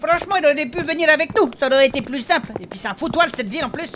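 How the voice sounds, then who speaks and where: A woman's voice: high-pitched, rapid-fire, noisy background, natural voice. A woman playing a grumbling, worried innkeeper turned reluctant adventurer in a comic fantasy audio drama, blunt and sarcastic.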